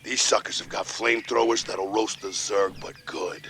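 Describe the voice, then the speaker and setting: An actor playing a folksy, cocky, rough-edged outlaw soldier in a video game. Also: male voice, on the low side, fairly even intonation, moderate pace, bright, forceful tone, a little hiss or hum.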